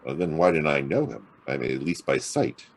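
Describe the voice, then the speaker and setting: An actor playing a hard-boiled, wisecracking private detective in a noir radio drama, with a gravelly, husky voice and a sardonic drawl. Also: male voice, a bass voice, expressive, fairly quick, heavily acted. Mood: probing, wry. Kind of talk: noir radio drama acting and first-person narration.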